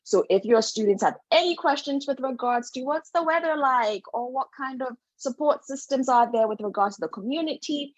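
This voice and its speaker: A young adult woman from Ghana, a student advisor, introducing herself in a webinar in measured, formal phrasing. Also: high-pitched, no room sound. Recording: dull, dry lo-fi mic.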